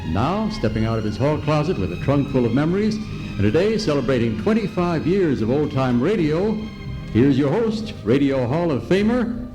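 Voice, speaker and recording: A man's voice: fairly high pitch, big pitch swings, normal speed, over music, poor audio. An American man announcing in a showy, scripted old-time radio style. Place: hotel ballroom stage.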